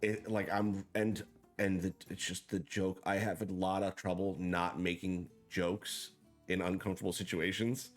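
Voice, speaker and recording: A man hosting a casual interview, loud, joking and self-deprecating as he talks. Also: sits low, flat delivery, normal speed, faint music behind. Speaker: host.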